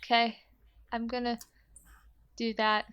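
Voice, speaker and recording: A woman's voice: fairly high pitch, monotone, slow pace, a bit bright, clean tone, dry recording, lo-fi sound. A woman speaking casually as a player in a tabletop roleplaying game, describing her character's actions.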